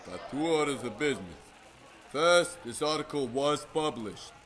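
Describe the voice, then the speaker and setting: A man's voice: fairly high pitch, animated, moderate pace, fairly bright tone. A man playing a brash, bullying gangster boss in an old-time radio drama. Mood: commanding. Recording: crowd murmur behind.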